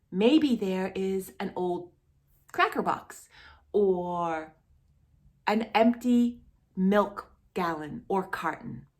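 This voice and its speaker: A woman's voice: medium pitch, a little mellow. A woman hosting a children's storytime, speaking directly to young children in an encouraging, sing-song way with lots of little questions. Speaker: host of a children's storytime channel.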